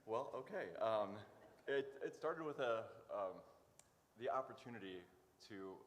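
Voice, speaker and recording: Deep-voiced man in an echoey hall. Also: animated. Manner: hesitant, halting delivery with many pauses. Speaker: interview guest, church worker. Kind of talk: informal live interview. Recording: echoey hall, noticeable background noise.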